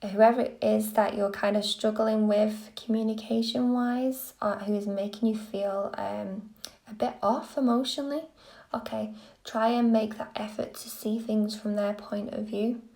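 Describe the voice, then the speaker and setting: A woman reading tarot on a live stream, gentle and soothing, a little croaky from a cold. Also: medium pitch, fairly even intonation, a little slow. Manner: gentle, soothing, lots of okays. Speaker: tarot reader.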